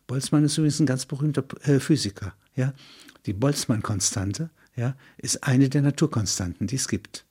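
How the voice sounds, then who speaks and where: A man's voice: medium pitch, normal speed, darkish tone, slightly rough. A man reading literary narration in an explanatory manner, breaking into spoken asides. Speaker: narrator and reader.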